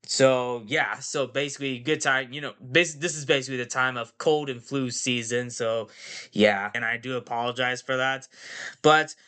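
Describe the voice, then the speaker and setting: A man's voice: medium pitch, fairly even intonation, normal speed, bright, forceful tone. A man hosting a casual movie podcast, nasal from a cold, rambling and repeating phrases and fillers. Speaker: podcast host.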